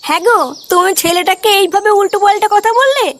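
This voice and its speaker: A woman's voice: very high voice, quick pace, slight background noise. A woman voicing a protective, reproachful middle-aged mother in a comedy cartoon. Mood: reproachful, worried.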